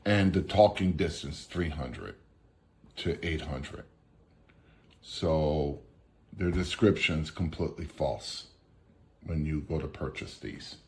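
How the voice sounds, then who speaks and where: A man with a strikingly deep voice gives an off-the-cuff product critique. He talks very slowly, in short halting phrases, and states his points bluntly. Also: animated, vocal fry, a little mellow. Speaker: product reviewer. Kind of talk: casual unscripted product critique.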